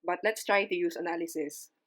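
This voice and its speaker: A woman's voice: lowish voice, a little fast, fairly bright tone. A young adult woman from the Philippines with an Ilonggo accent, explaining board exam strategies in a casual vlog and mixing English with Tagalog. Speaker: librarian giving exam tips.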